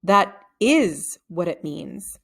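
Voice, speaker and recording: A woman's voice: on the low side, very animated, very slow, gravelly, a bit bright, heavily acted. A woman voicing a dry, matter-of-fact male schoolteacher in a storybook reading.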